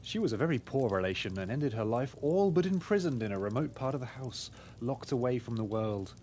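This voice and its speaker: A man voicing an earnest pig detective in a game, reasoning through deductions in a formal, deliberate way. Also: mid-range voice, lively intonation, a little mellow, slight background noise, natural voice. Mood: earnest, deductive. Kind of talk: scripted game dialogue.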